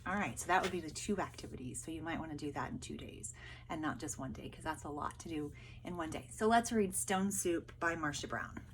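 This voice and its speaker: Woman teacher, lowish voice, casual explanatory lesson and story reading, speaking to pupils, noisy recording. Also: fairly quick. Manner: explanatory, addressing pupils directly.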